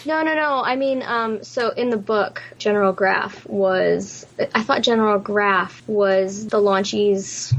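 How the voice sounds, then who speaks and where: A woman with a mid-pitched voice chats casually as a podcast co-host. There is a light layer of noise in the recording behind her. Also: takes it slowly, hardly any pauses, a bit bright, poor audio. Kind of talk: casual chat.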